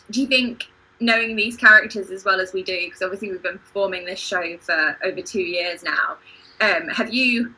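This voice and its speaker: A woman's voice: mid-range voice, pushed and bright, slightly rough, poor audio. A woman talking informally in a panel discussion, explaining points and putting questions to the group. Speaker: theatre company performer leading discussion.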